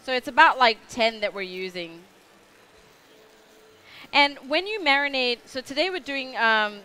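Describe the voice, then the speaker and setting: A woman's voice: on the high side, bright, forceful tone, smooth. A young woman with an Australian accent giving a live cooking demonstration on a food show stage, chatty and rambling with many fillers.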